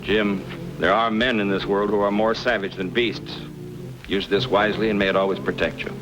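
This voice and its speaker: An old radio western with music underneath. A male actor plays a proud frontier bladesmith, kindly and assured. His voice sits a little low and is measured, like a mentor's. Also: steady tone, close to an everyday voice, low-quality recording. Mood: solemn. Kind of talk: old-time western radio drama acting.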